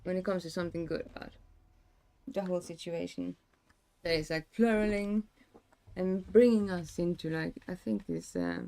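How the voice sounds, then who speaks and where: A young woman, hoarse today, chatting in a rambling, casual way with many fillers and restarts. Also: lowish voice, expressive, moderate pace, dark tone. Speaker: streamer. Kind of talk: casual chat.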